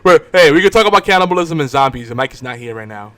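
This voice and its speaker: A middle-aged man hosts a casual chat show. His voice sits at a medium pitch and he talks in a loose, bantering way. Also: animated, racing, pushed and bright, no room sound. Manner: joking, bantering. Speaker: podcast host.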